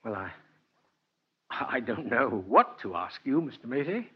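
A man's voice: medium pitch, very animated, a little slow, husky. An older man playing a kindly, earnest old Santa Claus in a 1940s radio drama, with genteel, heartfelt oratory. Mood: hesitant, gentle. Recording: dull vintage 1949 radio recording, music behind.

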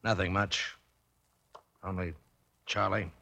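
An actor playing a slick, businesslike fight promoter with clipped, matter-of-fact delivery in a 1950s radio drama. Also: male voice, deep voice, flat delivery, slow pace, creaky, pushed and bright, heavily acted.

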